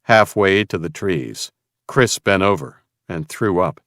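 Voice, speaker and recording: A man's voice: sits low, lively intonation, slow, fairly bright tone, no room sound, studio quality. A man narrating dramatic fiction from a script as an audiobook reader.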